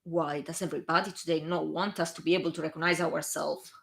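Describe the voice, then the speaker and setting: A woman's voice: deep voice, steady tone, fast, gravelly, fairly bright tone. An Italian-accented woman voicing a stubborn, irritated young male wizard, curt and grumbling.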